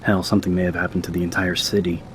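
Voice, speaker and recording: A man's voice: sits low, flat delivery, very fast, slight background noise. A man narrating an audiobook from a script in a dramatic story style.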